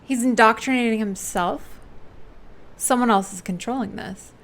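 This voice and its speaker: A woman's voice: a little high, normal speed. A woman streaming a game, giving casual, playful commentary and thinking aloud as she reacts. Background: game music.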